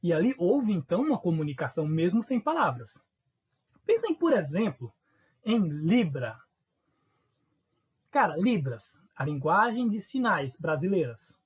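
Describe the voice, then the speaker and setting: A man's voice: sits high, very animated, measured pace, long pauses, darkish tone, breathy edge, lo-fi sound. A man from Northeast Brazil with a Northeastern accent, teaching a recorded online lesson in an informal way, asking questions and answering them himself. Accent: Northeastern Brazilian Portuguese.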